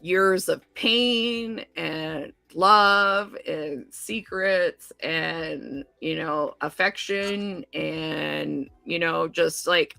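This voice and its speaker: A woman, possibly, hosts a casual chat, speaking low with a gravelly, bright edge. She rambles through recaps with many fillers and restarts. The sound is dry and close, with faint music underneath. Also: no room sound. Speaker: podcast co-host.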